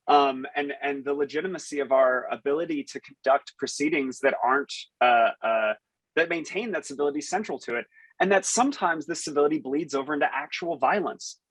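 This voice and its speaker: A man moderating a panel, his voice slightly husky and a bit high, in a dry room with no echo. He is courteous and keeps the discussion moving. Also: fairly bright tone. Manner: polite, steering the talk along.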